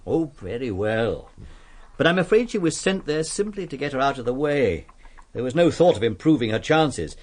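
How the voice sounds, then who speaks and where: An actor playing an earnest, kindly English vicar in a radio drama, speaking politely and precisely with some hesitation. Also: male voice, medium pitch, a little mellow, natural voice.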